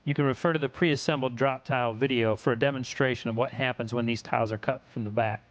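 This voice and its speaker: A man reads scripted technical narration for an instructional video in a measured, instructional way. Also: mid-range voice, fairly even intonation, no room sound, poor audio.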